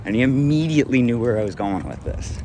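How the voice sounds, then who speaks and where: A man's voice: mid-range voice, lively intonation, fast, a little mellow. A man vlogging outdoors at a bus stop, talking in an indignant, rambling way full of restarts. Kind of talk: rambling vlog monologue. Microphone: handheld camera. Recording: clear street traffic noise. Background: passing road traffic.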